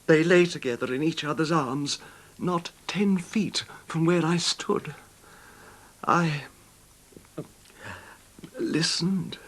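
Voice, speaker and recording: An actor playing an older wealthy English husband, haltingly recounting his betrayal in an anguished, formal manner in a radio drama. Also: a little high, a bit bright. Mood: heartsick, haunted. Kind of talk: 1970s radio drama acting, narrating a confession. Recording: noisy 1970s radio drama recording.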